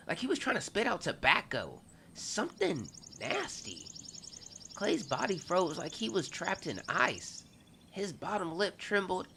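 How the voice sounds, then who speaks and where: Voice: mid-range voice, creaky, bright, forceful tone, slight background noise, heavily acted. An actor voicing a young boy who earnestly narrates events into his journal in a scripted audio drama. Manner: earnest, confiding, storytelling.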